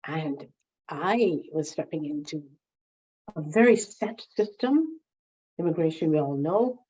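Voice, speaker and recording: A woman being interviewed, telling stories from her past in an animated way and repeating words as she gathers her thoughts. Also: low voice, unhurried, husky. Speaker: interviewee, founding member recalling early days. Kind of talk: reflective storytelling interview. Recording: slightly dull lo-fi sound.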